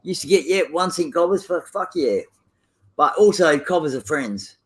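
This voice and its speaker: A man's voice: fairly high pitch, lively intonation, moderate pace, background noise. An Australian man chatting casually, crude and teasing, swearing heavily. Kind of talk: casual voice chat.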